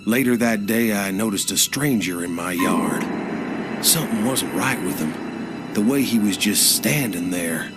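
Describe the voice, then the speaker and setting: A man's voice: on the low side, measured pace, close to an everyday voice. An actor playing a plainspoken rural American farmer who survived a zombie outbreak, narrating his haunted story for a video game in a folksy way. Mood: grim recollection. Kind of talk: scripted first-person game narration. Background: music.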